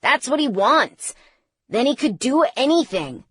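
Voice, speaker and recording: A woman's voice: fairly high pitch, normal speed, a bit bright, no room sound. Woman voicing a tense, agitated teenage boy. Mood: adamant. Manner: tense, emotional. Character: earnest, agitated teenage boy, son of worried parents.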